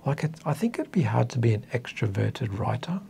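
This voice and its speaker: A man's voice: mid-range voice, very animated, brisk, vocal fry, mellow, slight background noise. An Australian man in his fifties in a casual, reflective chat, speaking hesitantly and thoughtfully, with a self-deprecating way about him. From northern coastal New South Wales, Australia. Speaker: interview guest, author. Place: small indoor meeting room.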